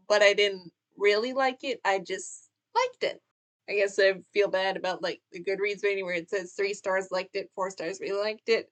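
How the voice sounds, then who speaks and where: A woman's voice: medium pitch, fairly bright tone. A young woman chatting about books in a casual vlog, rambling a bit, with fillers and restarts. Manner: chatty and rambling, with frequent fillers and self-corrections. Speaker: book vlogger. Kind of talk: casual book tag vlog.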